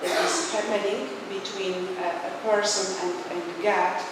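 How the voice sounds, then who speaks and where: A Polish woman delivers a reflective religious speech in accented, halting English at an unhurried pace. Her voice comes from a distance in a very echoey hall, with clear background noise. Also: mid-range voice, fairly even intonation. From Poland. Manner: halting, searching for words in non-native English. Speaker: religious leader, speaker. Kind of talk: informal closing speech with spiritual reflection. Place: large echoey hall, speaking to a gathering. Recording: echoey hall from far off, noisy.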